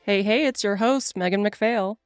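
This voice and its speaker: A woman's voice: mid-range voice, normal speed, no room sound, soft background music. A woman in her early forties hosting a podcast, talking in an earnest, chatty way and laughing easily. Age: 40s. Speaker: podcast host. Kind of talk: casual podcast chat.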